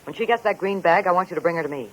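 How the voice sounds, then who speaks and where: An actress playing a seductive, scheming femme fatale in a 1940s radio noir, sultry and coolly insistent with a veiled menace. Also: female voice, lowish voice, racing, slight background noise, close to an everyday voice. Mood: veiled threat. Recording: muffled 1940s radio recording.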